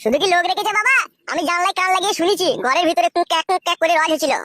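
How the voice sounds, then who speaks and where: A man's voice: very high-pitched, racing, pushed and bright, dry recording. A man voicing a tattling, insolent young husband in a comic cartoon, whining and crude. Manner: whining, crude, tale-telling.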